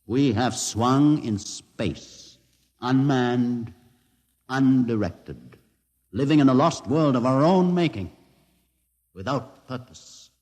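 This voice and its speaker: An actor playing a solemn mutant leader with a twisted leg, speaking gravely and deliberately in a 1950s radio drama. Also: male voice, mid-range voice, a little mellow. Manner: slow, grave, dramatic storytelling. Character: aged mutant leader, lame, solemn and wise. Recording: old radio broadcast with long reverb.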